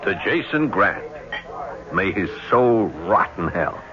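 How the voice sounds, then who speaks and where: A man's voice: low voice, very animated, takes it slowly, crowd noise, full character voice. An actor playing a bitter man set on revenge, talking with cold, insistent intensity. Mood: grim. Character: bitter vengeful man plotting a killing. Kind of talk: 1970s radio drama acting. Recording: muffled old radio broadcast.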